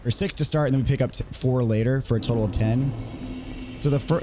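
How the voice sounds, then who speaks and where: A man with a hoarse voice he is still getting back, casually explaining a game walkthrough in a relaxed way, with frequent ums and restarts. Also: mid-range voice, a little hiss or hum. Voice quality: recovering from voice loss. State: hoarse, recovering voice. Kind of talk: casual gameplay commentary.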